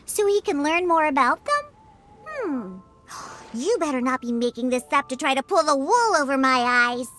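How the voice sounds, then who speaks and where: A woman voicing a proud, prickly young girl in a video game, haughty and grudging but secretly soft-hearted. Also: high-pitched, very animated, normal speed, soft background music. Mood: suspicious.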